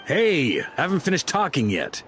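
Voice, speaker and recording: A man playing a seasoned knight commander in a video game, measured, stern and dryly sardonic. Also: on the high side, huge pitch swings, background noise. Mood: exasperated. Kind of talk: dramatic video game voice acting.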